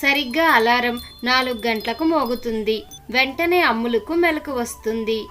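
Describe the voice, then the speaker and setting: A woman with a fairly high voice tells a scripted story as its narrator. Music plays softly behind her. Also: moderate pace.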